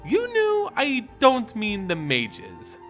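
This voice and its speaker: A man's voice: high-pitched, huge pitch swings, takes it slowly, a bit bright, pitched above the performer's own voice, exaggerated voice. A man voicing a female witch's spirit in a storybook narration, cryptic, knowing and pointed. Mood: probing. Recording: voice over background music.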